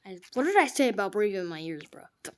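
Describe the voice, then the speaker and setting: A woman giving jokey gameplay commentary, trash-talking the game characters and swinging from hushed mutters to sudden loud outbursts. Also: mid-range voice, big pitch swings, fast. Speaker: gamer.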